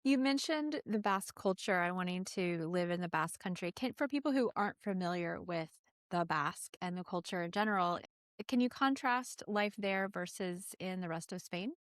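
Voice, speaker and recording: A woman's voice: fairly low pitch, animated, moderate pace, no echo. Woman hosting an interview podcast, chatty style, really dry sound with no room on it.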